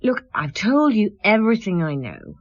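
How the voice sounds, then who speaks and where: A woman's voice: mid-range voice, sing-song, moderate pace, lo-fi sound. An actress playing a defiant, evasive married woman under questioning, brittle and sarcastic, in a radio drama. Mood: weary, defensive.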